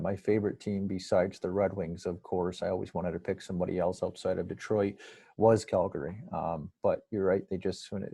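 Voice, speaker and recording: A man with a fairly low, mellow voice that often drops into gravelly fry. He talks sports casually as an interview guest, rambling and hesitating in a conversational way. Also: dry recording.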